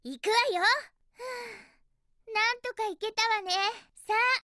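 A woman's voice: extremely high pitch, unhurried, bright, forceful tone, no room sound, studio quality, full character voice. An actress voicing a spirited, bossy young female hedgehog in a video game, with perky, emphatic, feisty delivery. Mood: focused. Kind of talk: Japanese video game character voice clips.

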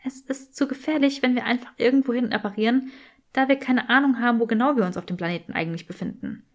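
A woman's voice: fairly high pitch, quick pace. A woman voicing a curious, bookish young witch who explains things precisely and is easily exasperated, in an audiobook story. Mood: earnest.

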